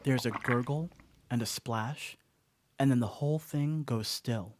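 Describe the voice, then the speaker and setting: An actor playing a rattled, rambling stoner dog sitter in a radio play monologue, telling a story conversationally with wry asides. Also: male voice, medium pitch, unhurried, background noise, natural voice. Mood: tense, wry.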